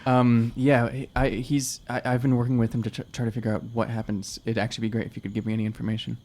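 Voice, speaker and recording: A man improvising casually as a tabletop game player, in a halting, hesitant manner. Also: medium pitch, little pitch movement, darkish tone. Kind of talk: casual improvised role-play.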